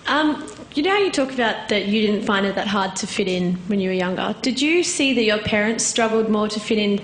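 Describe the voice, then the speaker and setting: A woman's voice: medium pitch, expressive, fairly quick. Woman asking a question from the audience. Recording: echoey hall with faint background noise.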